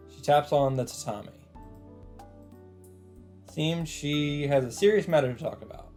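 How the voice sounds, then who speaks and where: A man's voice: fairly high pitch, a little slow, a little mellow, faint music behind. A man reading visual novel text aloud as casual narration, dropping in his own asides.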